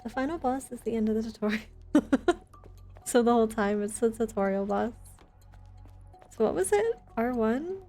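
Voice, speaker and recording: A woman with a soft, mellow voice talks casually on a game livestream. Her manner is playful and teasing, and music plays faintly behind her. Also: a little high, expressive, moderate pace, pauses often. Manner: playful teasing banter, gasps at discoveries. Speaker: game streamer. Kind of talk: casual livestream gaming chat.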